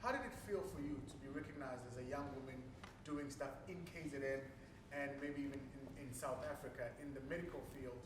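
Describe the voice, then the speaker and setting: A man's voice: mid-range voice, a little fast. A man hosting an interview, asking a long, winding question. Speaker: interview host. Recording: distant, echoey mic with background noise.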